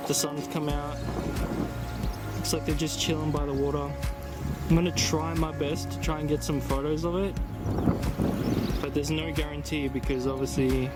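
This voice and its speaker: A young Australian man from Tasmania talking casually into a handheld camera while walking outdoors in windy weather, with lots of fillers and quick bursts of excitement. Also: on the high side. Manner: chatty, filler-heavy, easily excited. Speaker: travel vlogger. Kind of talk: casual vlog narration. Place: outdoors, windy riverside in a small town. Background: loud music.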